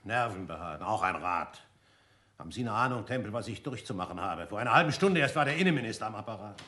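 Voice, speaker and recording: Forceful, exasperated police chief. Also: male voice, lowish voice, animated, fast. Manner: forceful, irritable, authoritative. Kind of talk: German radio crime play acting.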